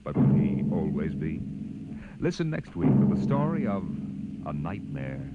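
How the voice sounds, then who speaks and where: A man's voice: a bass voice, very animated, a little slow, heavy background noise. A man hosting a 1950s radio drama with ominous, portentous narration. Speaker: radio show host. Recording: tinny old radio recording. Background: loud dramatic music.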